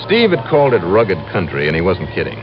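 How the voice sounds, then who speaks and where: A man acting as a wry, brisk insurance investigator in a 1950s American radio drama, with clipped, punchy delivery. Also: low-pitched, huge pitch swings, exaggerated voice. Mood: dry narration. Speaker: lead actor and narrator. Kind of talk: 1950s radio detective acting, first-person narration. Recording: muffled old radio recording with music.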